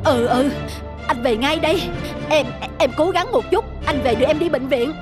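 A woman's voice: high voice, moderate pace, music in the background, natural voice. A woman voicing a shifty young male motorbike-taxi driver, brusque and easily flustered, in a cartoon. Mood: flustered, anxious. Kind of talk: cartoon voice acting.

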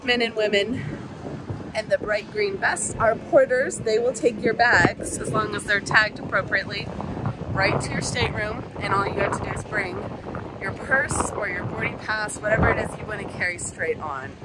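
A woman's voice: medium pitch, moderate pace, very noisy. A woman in her thirties from the United States, vlogging to a phone camera on a ship deck and at a port terminal, chatty and explaining each step. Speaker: travel vlogger. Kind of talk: casual vlog to camera. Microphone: phone camera at arm's length.

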